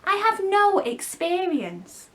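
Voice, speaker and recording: A woman's voice: very high-pitched, sing-song, slow pace, a bit bright, voice pushed up, full character voice. A woman voicing a kind, polite and slightly timid young girl in a storybook reading. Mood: soft, reassuring.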